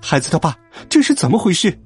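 Man putting on a high, fussing mother. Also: big pitch swings, husky, faint music behind, heavily acted. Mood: worried. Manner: shrill nagging, rapid questions. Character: fussing, scolding, tender-hearted mother.

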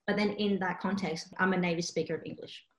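A woman's voice: fairly low pitch, quick pace. A young woman who grew up with Cantonese, chatting casually and telling stories with lots of fillers. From Australia. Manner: chatty, filler-heavy, animated storytelling. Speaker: interpreting student. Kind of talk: casual chat. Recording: roomy echo.

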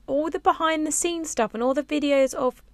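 A young woman hosting a casual solo podcast, rambling and chatty with sighs and filler words, her voice lower and a little husky after a late night. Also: moderate pace, no room sound. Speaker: podcast host. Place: on a boat, small space.

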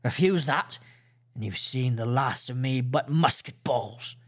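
Man voicing an old pirate, one-legged, cunning, menacing, rough sea dialect, blustering, raised voice, audiobook. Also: mid-range voice, unhurried, lightly acted. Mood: threatening. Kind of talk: audiobook character voice.

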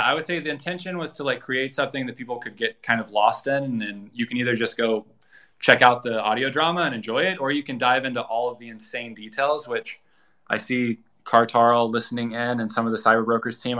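A man's voice: medium pitch, bright, forceful tone. A man talking casually and informally as an interview guest, explaining plans and details of a project. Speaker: guest, co-creator of an audio drama. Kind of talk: casual promotional interview talk.

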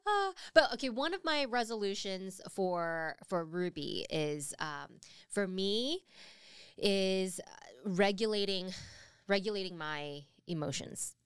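A woman's voice: mid-range voice, expressive, slow pace, clear, resonant tone, no echo, studio quality. A woman in her thirties co-hosting a casual podcast chat, often hesitant and searching for words as she talks. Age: 30s. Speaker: podcast co-host.

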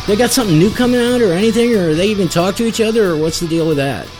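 A man's voice: sits high, very fast, darkish tone, low-quality recording. A man hosting a podcast, talking casually about music. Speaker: podcast host.

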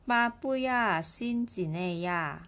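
A woman teaching a language lesson, slowly repeating each word for listeners to practice. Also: medium pitch, animated, a bit bright. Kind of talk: slow lesson with drills.

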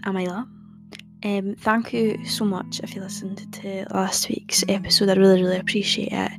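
A young woman hosting a podcast, chatting casually in a soft, calming voice and rambling with lots of fillers. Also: medium pitch, normal speed, music in the background. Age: young adult. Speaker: podcast host.

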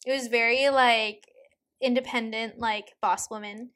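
A woman's voice: a little high, fairly even intonation, unhurried, a bit bright. Upbeat young woman from Hong Kong. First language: Cantonese. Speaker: podcast host. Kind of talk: casual chat.

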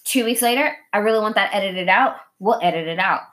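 A woman's voice: mid-range voice, brisk. The woman at the kitchen table who tells it straight with plenty of swearing, playing both sides of every conversation she describes, as she does hosting her podcast. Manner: blunt, swearing freely; animated, acting out imagined dialogue. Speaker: podcast host. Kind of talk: casual, candid conversation.

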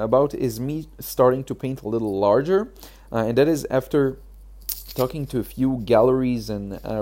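A man with a hoarse, achy throat, hosting a casual solo podcast, talking haltingly with many fillers. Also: medium pitch, dark tone. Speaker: podcast host.

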